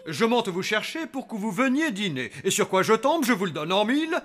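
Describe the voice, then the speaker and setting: Animated, stern zombie dad. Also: male voice, sits high, fairly quick. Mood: mock stern. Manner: theatrically reproving. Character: stern, wry zombie father. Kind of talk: French cartoon dubbing.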